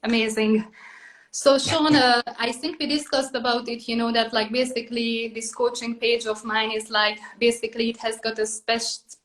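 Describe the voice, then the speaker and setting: A woman in her thirties with a Hungarian accent hosts a relaxed interview. Her voice sits fairly high but barely moves in pitch, and her sentences drift along, padded with "like" and "basically". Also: moderate pace, low-quality recording. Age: 30s. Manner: many "like" and "basically" fillers, loose phrasing. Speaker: podcast host. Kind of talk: casual interview chat.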